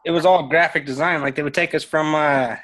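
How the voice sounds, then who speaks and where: A man's voice: a little high, steady tone, fairly quick, a bit bright. A man from Utah with a Southern accent chatting casually and bluntly on an online class call. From Utah, USA. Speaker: student. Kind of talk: casual chat.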